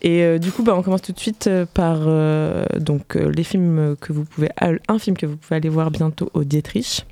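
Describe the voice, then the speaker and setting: A woman's voice: low voice, steady tone, normal speed, gravelly, hardly any pauses, warm tone, no room sound. A woman hosting a casual culture show on the radio, chatting informally and improvising with hesitations. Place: radio studio.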